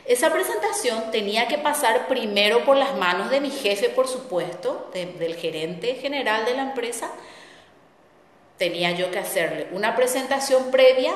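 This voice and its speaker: A middle-aged woman telling a personal story as a spiritual talk host, reflective and repeating words for weight. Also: fairly high pitch. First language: Spanish. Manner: reflective, warm storytelling with repetitions. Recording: echoey room with long reverb.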